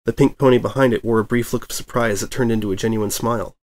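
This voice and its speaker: Man narrating a story, mid-pitched. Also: fairly even intonation, fast talker. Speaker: fan fiction reader, narrator.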